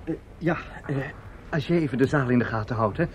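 A man's voice: mid-range voice, brisk, slight background noise, natural voice. An actor in a radio drama playing a flustered, self-satisfied male opera impresario, muttering and hesitant or pompously speechifying.

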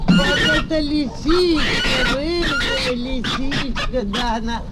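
A man's voice: very high voice, huge pitch swings, takes it slowly, shouting. A man giving an educational talk to children as an animal theatre guide, affectionate and fond of diminutives, on an old record. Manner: affectionate, uses pet names and diminutives. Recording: echoey, noisy old flexi-disc recording. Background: animal sounds.

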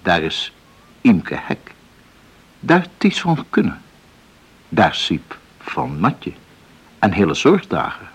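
Deep, husky, slow storyteller. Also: male voice, huge pitch swings, a bit bright, heavily acted. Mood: solemn, lyrical. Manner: measured, solemn, poetic delivery. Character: solemn storyteller of a rural harvest tale. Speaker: narrator. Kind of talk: literary radio play narration.

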